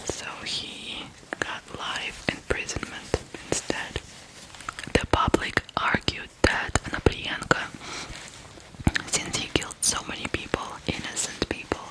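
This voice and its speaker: An ASMR host from Ukraine telling true crime stories in a breathy whisper, in deliberate phrases with pauses. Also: low-quality recording.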